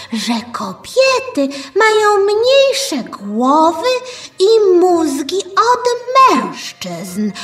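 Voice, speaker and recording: A woman's voice: very high-pitched, roomy sound, distant mic, pitched above the performer's own voice, heavily acted. A woman voicing an inquisitive young schoolgirl, earnest and polite as she questions her teacher in a skit.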